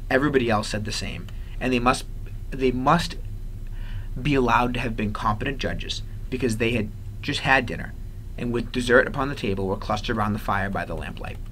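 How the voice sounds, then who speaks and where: Expressive male storyteller with mid-range voice. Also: mid-range voice, fairly quick, slight background noise, rough recording. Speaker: teacher reading aloud.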